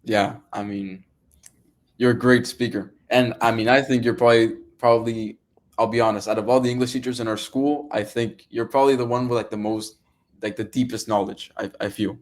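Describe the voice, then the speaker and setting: Quiet young man hosting hesitantly. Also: medium pitch, steady tone, a little fast. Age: teenager or young adult. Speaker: podcast host, student. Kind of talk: casual podcast interview.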